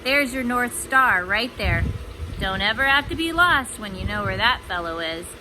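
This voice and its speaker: Storybook old fisherman played by a woman: kindly, chatty, folksy, fairly high voice, noisy recording. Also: animated, moderate pace, close to an everyday voice. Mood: cheerful, folksy. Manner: folksy, colloquial. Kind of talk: children's storybook read-aloud.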